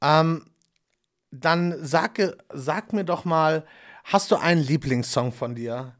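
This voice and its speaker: A man's voice: a little high, slow. A man hosting a casual podcast interview, chatting loosely with lots of fillers and small self-deprecating jokes. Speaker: podcast host.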